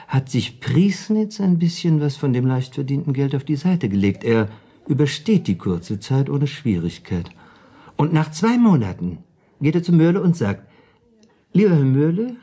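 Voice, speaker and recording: An actor playing a wry, shrewd dinner companion in a German radio play, a husky, mellow man who argues deliberately and persuasively. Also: medium pitch.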